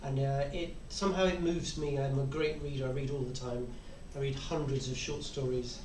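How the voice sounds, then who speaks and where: A man's voice: medium pitch, fairly even intonation, moderate pace, darkish tone. A man in his sixties answering conversationally as a panellist at a convention. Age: 60s. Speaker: publisher and podcaster, panellist. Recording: echoey room, distant mic, faint noise.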